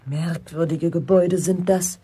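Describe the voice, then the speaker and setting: A visiting woman in a radio play, polite and quietly curious. Her voice is low and mellow, heavily veiled with breath. She speaks in an understated, musing manner. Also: steady tone, a little slow, husky. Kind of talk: German radio play dialogue.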